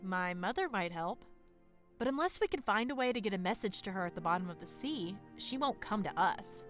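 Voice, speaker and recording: A woman's voice: mid-range voice, expressive, fairly quick, soft background music, close to an everyday voice. A woman playing a blunt, impatient female dragon warrior in an audio drama. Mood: frustrated.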